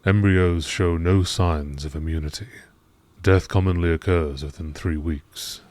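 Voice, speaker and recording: A man reading scripted narration in a measured, formal nature documentary style. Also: low voice, fairly even intonation, a little mellow, no echo. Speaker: gaming channel narrator.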